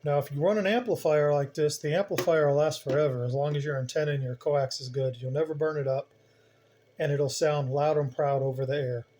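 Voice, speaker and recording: A man's voice: fairly high pitch, steady tone, fairly quick, warm tone, slight background noise. A man giving an unscripted hands-on equipment tutorial, plain-spoken and explaining step by step, repeating key points. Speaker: hobbyist demonstrator, channel host.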